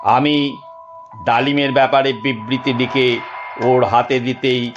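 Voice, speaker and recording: A man narrating a dramatic first-person audio story in a deliberate, suspenseful storytelling manner. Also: mid-range voice, flat delivery. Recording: muffled studio narration over music.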